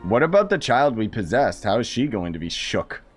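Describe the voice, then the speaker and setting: A man's voice: mid-range voice, very animated, a little fast. A man giving casual, sarcastic and incredulous commentary as he streams a game playthrough. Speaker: gaming streamer. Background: game music.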